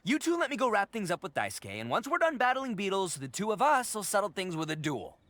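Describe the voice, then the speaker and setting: An actor playing a brash, boisterous young oni gang boss in a video game, cocky, blustering and theatrical. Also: male voice, sits high, huge pitch swings, fast, a bit bright, exaggerated voice. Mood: earnest. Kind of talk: video game character acting.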